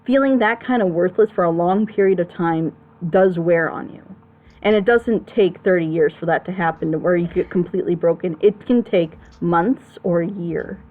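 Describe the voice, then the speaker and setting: A woman's voice: lowish voice, normal speed, narrow, tinny audio. A woman giving casual critical commentary on storytelling as a panelist, emphatic and opinionated, explaining her points at length. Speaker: panelist on a reaction show.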